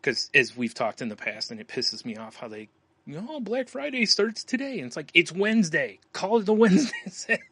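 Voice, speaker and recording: Bright-voiced man chatting casually. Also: high-pitched, big pitch swings, a little fast, breathy edge, no room sound. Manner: casual, profane, joking, chuckles often. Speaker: podcast co-host. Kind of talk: casual chat.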